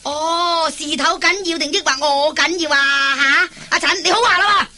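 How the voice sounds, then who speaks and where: An actress playing a fierce, big, domineering Cantonese wife in a radio comedy play, scolding and demanding. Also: female voice, high-pitched, normal speed, pushed and bright. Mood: imperious. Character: fierce, bossy, big fat nagging wife.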